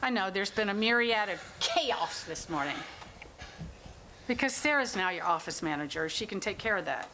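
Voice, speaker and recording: A woman playing a stressed, wry adult daughter, brisk and a little bossy, in a staged reading of a play. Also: mid-range voice, lively intonation, background noise, natural voice. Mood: frustrated. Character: stressed, wry adult daughter minding her troubled brother.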